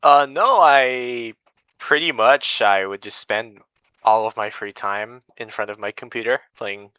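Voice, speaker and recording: A young man from Indonesia chatting casually as an interview guest, hesitant and modest, with a clear, smooth voice. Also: medium pitch, a little slow, bright, forceful tone, no echo, highs cut off. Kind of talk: casual chat.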